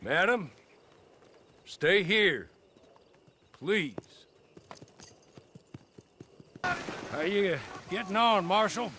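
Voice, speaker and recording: An actor playing a formal, persuasive, scheming fixer in a Western game. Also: male voice, high-pitched, animated, very slow, bright, forceful tone, slight background noise, heavily acted. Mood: brisk, wry. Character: smooth-talking, scheming gentleman fixer, man. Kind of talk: game cutscene acting.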